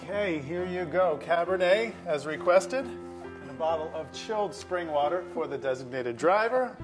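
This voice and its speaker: A man's voice: fairly high pitch, animated, normal speed, over music, natural voice. A man acting a blunt, sardonic middle-aged husband and father in a staged comedy reading. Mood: jovial host. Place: auditorium stage.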